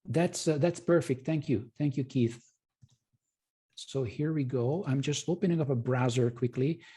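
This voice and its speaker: A man from Hungary, presenting an informal live webinar in a courteous, halting, self-correcting way. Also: mid-range voice, mellow, breathy edge. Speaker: presenter and trading educator.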